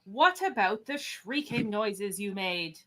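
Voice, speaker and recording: A woman's voice: mid-range voice, animated, slow, bright, forceful tone. A woman playing a dignified, authoritative starship captain in a fan radio play. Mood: questioning. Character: commanding, questioning starship captain, formal and dignified.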